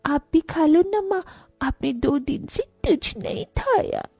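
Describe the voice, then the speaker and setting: A woman's voice: extremely high pitch, animated, takes it slowly, mellow, no room sound, exaggerated voice. A woman voicing an earnest, eager little village girl with a childlike manner in a children's cartoon story. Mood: caring.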